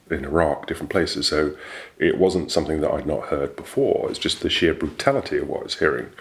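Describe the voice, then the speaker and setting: A man with an extremely deep voice speaks in an interview. He looks back on his legal work in a measured, reflective and matter-of-fact way. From England. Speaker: barrister, interviewee. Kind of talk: interview recollection.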